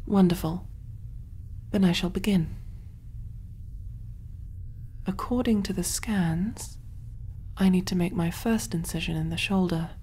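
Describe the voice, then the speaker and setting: A woman voicing a kind, clinical alien surgeon in a gentle, reassuring ASMR roleplay, soft-spoken and often whispering. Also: on the low side, slight background noise, natural voice. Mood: calm, focused.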